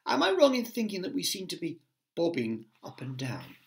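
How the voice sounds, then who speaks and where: A man's voice: sits high, huge pitch swings, normal speed, gravelly, voice pushed up, full character voice. A man voicing a sensible female ladybird in a children's storybook, polite and a little fussy. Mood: politely concerned.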